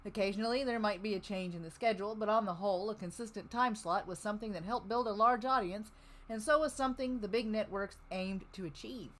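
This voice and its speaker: A woman hosts a livestream devoted to old-time radio. Her delivery is casual and conversational, shifting at times into read-out introductions, at a middling pitch. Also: quick pace, fairly bright tone. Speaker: host of an old-time radio listening stream. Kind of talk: casual livestream chat, partly reading show notes.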